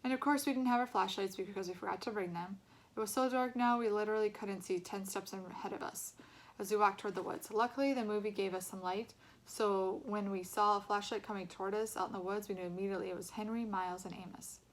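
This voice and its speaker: A woman reading a storybook aloud as an expressive narrator. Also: medium pitch, fast, low-quality recording.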